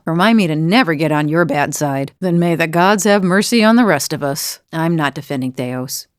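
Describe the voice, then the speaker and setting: A woman's voice: on the low side, darkish tone, dry recording, studio quality, close to an everyday voice. An actress playing a wry, world-weary adventurer woman in a video game, conversational and reflective, as if haunted by old memories. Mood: wry, steady. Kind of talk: video game character dialogue.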